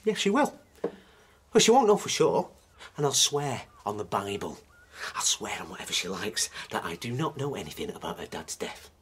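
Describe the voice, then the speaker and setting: An actor playing a glib, restless estranged husband in a radio drama, persuasive and sarcastic. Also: male voice, on the low side, slight background noise. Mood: defensive, cocky. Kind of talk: naturalistic radio drama acting.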